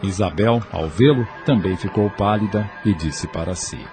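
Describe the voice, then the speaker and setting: A man's voice: low voice, normal speed, music in the background. A man narrating an audio novel in a scripted, dramatic storytelling style, with faint ambient noise.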